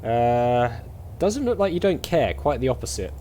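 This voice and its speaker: A man's voice: mid-range voice, big pitch swings, normal speed, warm tone, slight background noise. A chatty man giving casual gameplay commentary as host, with game ambience behind him. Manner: chatty, reads game dialogue aloud. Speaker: gaming video host. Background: faint game ambience.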